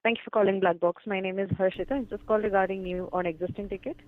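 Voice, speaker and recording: A woman's voice: mid-range voice, steady tone, fast. A woman working as a customer support agent on a phone call, polite and formal, repeating herself. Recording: tinny phone line.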